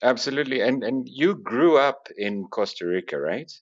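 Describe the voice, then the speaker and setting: A man's voice: medium pitch, animated, normal speed, dull audio. A man interviewing a guest, asking questions with short encouraging affirmations. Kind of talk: conversational interview.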